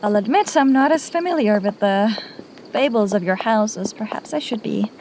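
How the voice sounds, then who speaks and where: An actress playing a guarded undercover inquisitor in a fantasy audio drama, with a polite, careful way of speaking. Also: female voice, on the high side, expressive, normal speed, background noise. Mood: polite, wary. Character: guarded undercover female inquisitor posing as businesswoman.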